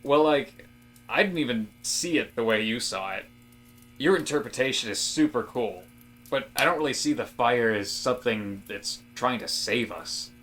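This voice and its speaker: A man acting an eager young-at-heart office guy in a comedic audio drama. His voice is bright and he rambles casually, full of earnest conviction about his odd theories. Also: mid-range voice, lively intonation, moderate pace, natural voice. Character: Rob, eager, casual male office worker with intense theories.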